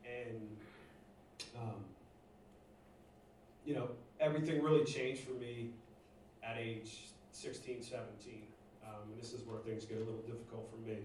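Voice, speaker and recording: A man from Northeast Philadelphia giving a halting personal testimony to a church congregation, full of fillers and restarts. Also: medium pitch, steady tone, a little mellow. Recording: echoey room, distant mic, faint noise.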